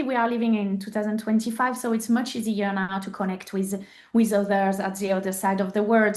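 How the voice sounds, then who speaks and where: A woman from France speaks English with a French accent, her voice sitting at an ordinary middle pitch. She talks earnestly and openly as a podcast guest, often stopping on fillers while she searches for words. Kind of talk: candid interview conversation.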